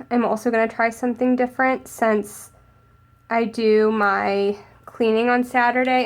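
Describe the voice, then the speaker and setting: A young woman with a cold, a little hoarse and sniffly, chatting casually as she narrates decorating her planner. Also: fairly high pitch. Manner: chatty, upbeat, thinking aloud while crafting. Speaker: planner vlogger.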